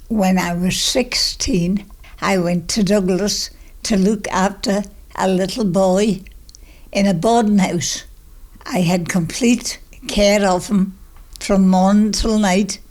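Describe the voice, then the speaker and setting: A woman's voice: mid-range voice, darkish tone. A woman of ninety, reminiscing conversationally in short, plain-spoken statements as she is interviewed.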